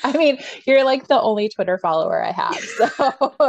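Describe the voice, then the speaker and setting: A woman's voice: sits high, lively intonation, a little slow, dry recording, highs cut off. A middle-aged woman chatting casually as a guest on a video call, a little hesitant and self-deprecating. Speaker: guest co-host. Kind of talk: casual chat. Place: room at home, video call.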